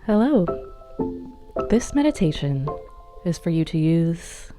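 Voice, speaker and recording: A woman narrating a guided meditation in a calm, measured, instructional manner. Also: lowish voice. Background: meditation music.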